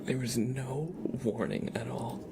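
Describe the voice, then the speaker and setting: A man hosting a live ASMR stream, chatting casually with viewers in a soft, half-whispered, amused way, close to the microphone. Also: mid-range voice, a little slow, vocal fry, very noisy.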